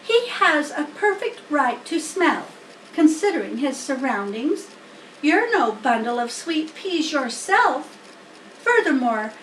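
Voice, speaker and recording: A woman's voice: high-pitched, voice pushed up. A woman voicing a wise, plain-spoken female spider from a children's storybook, matter-of-fact and instructive. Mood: sharp, protective. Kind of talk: children's storybook character reading. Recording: roomy, distant mic, faint noise.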